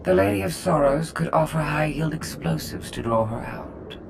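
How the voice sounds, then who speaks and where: Video game role: precise, analytical advisor, higher register with swooping pitch, formal wording, clear noise behind. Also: male voice, lively intonation, normal speed. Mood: detached, analytical.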